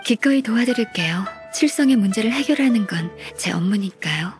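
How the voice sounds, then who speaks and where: A woman voicing a gentle, modest, dutiful secretary in a video game, speaking softly and formally. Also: mid-range voice, lively intonation, brisk, soft background music, lightly acted. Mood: polite, earnest. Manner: polite, soft-spoken, formal. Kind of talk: Korean video game voice acting.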